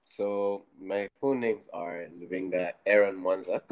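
A young man from Zambia, a podcast guest, speaking briefly and in a low-key way. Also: fairly even intonation, measured pace, darkish tone, slight background noise, low-quality recording. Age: young adult. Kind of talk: casual chat.

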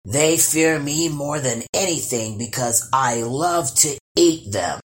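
A woman's voice: a bass voice, expressive, pitched below the performer's own voice, heavily acted. Woman doing a growling boastful troll. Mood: gruff boasting. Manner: growling, slow and loud. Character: huge boastful three-headed male troll.

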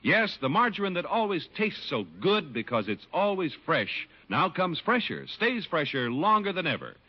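A man's voice: high-pitched, very animated, moderate pace, breathy edge. A man with an American accent giving a polished, emphatic scripted read as a 1950s radio announcer. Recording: muffled old radio broadcast. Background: music.

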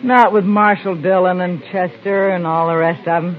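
A woman's voice: fairly low pitch, fairly even intonation, normal speed, natural voice. An actress playing a drunken, clingy older saloon woman in a radio Western, cooing and pleading with a young man. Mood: coaxing, clingy. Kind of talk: old-time radio Western acting. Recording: lo-fi vintage radio recording.

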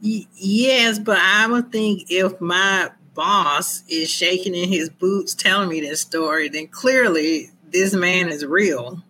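A woman in relaxed podcast conversation, taking her time and tossing in jokey asides. Her voice is bright and forward. She speaks slowly. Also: on the low side, slight background noise, rough recording. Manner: relaxed and unhurried, chatty with joking asides. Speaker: movie review podcast co-host. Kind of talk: casual movie review chat.

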